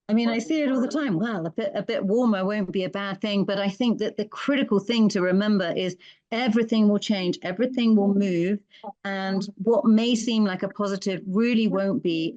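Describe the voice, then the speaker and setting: A woman's voice: mid-range voice, darkish tone, no room sound, dull audio. A woman with a British accent, answering interview questions as a conservation expert in an earnest, thoughtful way with frequent ums and restarts. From Britain.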